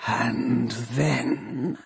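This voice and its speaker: A man voicing a menacing resurrected dead man of rusting metal, in a harsh, halting, hissing whisper. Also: medium pitch, gravelly, husky, exaggerated voice. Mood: relentless, demanding. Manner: slow, halting, flat.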